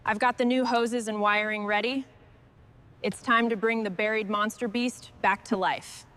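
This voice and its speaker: A woman's voice: mid-range voice, steady tone, moderate pace, fairly bright tone. A woman giving short scripted instructions and remarks as a mechanic on a restoration job.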